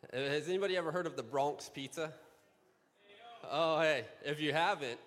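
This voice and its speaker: A man, a youth pastor, giving upbeat, joking church announcements before introducing a skit. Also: mid-range voice. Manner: upbeat, joking, with bursts of excitement. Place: church sanctuary. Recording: long church reverb.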